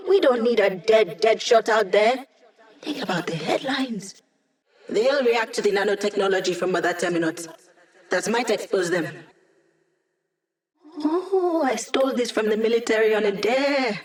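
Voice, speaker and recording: A woman's voice: a little high, moderate pace, natural voice. A woman playing a wry, playful young hacker in a video game, with a casual, quippy way of talking. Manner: breezy, casual, teasing, quippy. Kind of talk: scripted game character voice lines.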